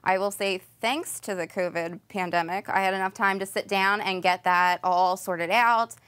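Small-business owner, American woman in her thirties, average pitch, plain-spoken, casual interview delivery. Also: steady tone, moderate pace, fairly bright tone, smooth. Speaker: shop owner being interviewed. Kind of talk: informal interview explanation. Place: small home decor shop, indoors. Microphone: lapel microphone.

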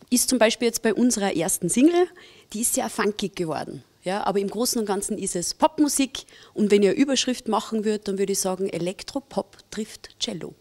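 A woman's voice: mid-range voice, a little mellow. Young austrian woman chatting in an interview, friendly, lots of little ums, handheld mic, stone-walled venue. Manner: friendly, chatty, with filler pauses. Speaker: singer and bandleader. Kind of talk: casual interview answers.

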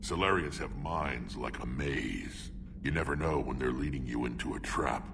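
Very deep gravelly alien warrior. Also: male voice, moderate pace, background noise. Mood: suspicious. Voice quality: gravelly, rumbling. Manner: gruff, blunt, menacing. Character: huge gruff male alien warrior, wary and protective. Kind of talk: video game voice acting.

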